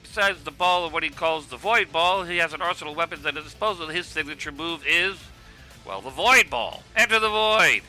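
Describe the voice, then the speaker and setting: Man as a very bright, high-voiced cartoon commentator. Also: animated, brisk, faint music behind, poor audio. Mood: hyped. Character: brash male cartoon wrestling commentator. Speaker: sports commentator. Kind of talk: play-by-play commentary in an amateur web cartoon.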